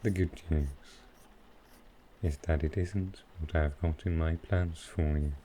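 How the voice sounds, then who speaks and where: A man playing a smooth, flirtatious supervillain in an intimate ASMR roleplay, teasing and unhurried. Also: a bass voice, fairly even intonation, a little hiss or hum. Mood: smug, coaxing. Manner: teasing, unhurried, softly suggestive. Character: smooth, flirtatious male supervillain courting his tailor.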